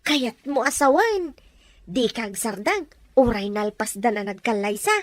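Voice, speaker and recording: A woman playing a gentle, scolding mother. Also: fairly high pitch, very animated, unhurried, no room sound. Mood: chiding. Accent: Ilocano. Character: caring mother of a soon-to-be bride.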